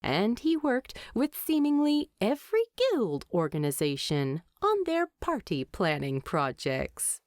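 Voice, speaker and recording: Woman, audiobook storyteller, lively swooping pitch, unhurried pace, close dry recording. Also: dry recording. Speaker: audiobook narrator. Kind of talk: expressive fan fiction storytelling.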